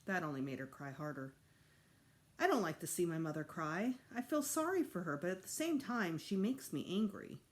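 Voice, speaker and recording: Animated woman reading a story aloud. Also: on the low side, normal speed. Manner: animated, acts out dialogue with emphasis. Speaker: teacher reading a children's novel to students. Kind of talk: expressive read-aloud storytelling.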